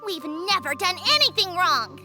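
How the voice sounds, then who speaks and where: A woman's voice: very high voice, lively intonation, normal speed. A woman voicing a small, earnest and innocent girl creature in a video game, childlike and polite. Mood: defiant. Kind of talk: video game character acting. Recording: clear background music.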